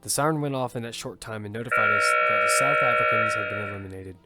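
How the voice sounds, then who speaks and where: A man's voice: fairly low pitch, fast, lightly acted. A man playing a battle-hardened survivor who narrates in grim first person and leads his team. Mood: steady. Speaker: narrator and lead character. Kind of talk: audio fiction narration. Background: music.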